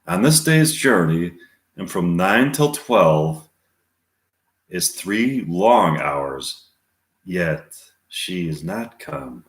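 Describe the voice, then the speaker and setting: A man reading the part of an impatient, lovesick young girl in verse, earnest and a little halting. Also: deep voice, big pitch swings, heavily acted. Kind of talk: amateur Shakespeare reading. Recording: echoey room.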